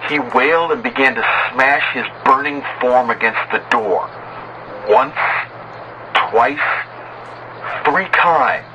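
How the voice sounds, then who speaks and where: A man tells a horror story as a despairing, doomed sailor. His delivery is very slow and grave, with deliberate dramatic pauses. A clear bed of ambient noise sits behind the voice. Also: mid-range voice, dull audio. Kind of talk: dramatic horror narration, acted in first person.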